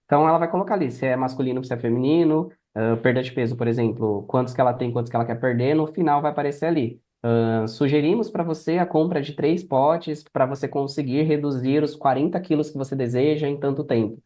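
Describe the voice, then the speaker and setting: A Brazilian man speaks quickly in a soft, mellow tone as he explains things in a casual podcast interview. His pitch sits in the middle for a man, and he sounds relaxed even at that brisk pace. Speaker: podcast guest, affiliate marketer. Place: remote video call.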